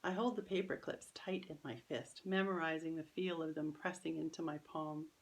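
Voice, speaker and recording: A woman reading her own children's story as expressive, careful, dramatic narration. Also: low voice, normal speed, low-quality recording.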